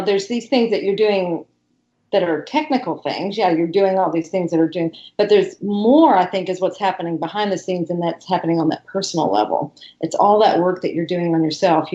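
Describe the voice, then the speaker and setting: A woman hosting a casual interview, encouraging and quick to laugh, with rambling restarts. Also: on the low side, mellow, reverberant, highs cut off. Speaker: podcast host.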